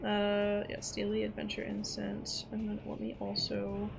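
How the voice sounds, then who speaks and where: A woman's voice: medium pitch, monotone, a little slow, creaky, noisy background. A woman live-streaming a game, chatting casually and playfully, exclaiming and talking back to what she plays.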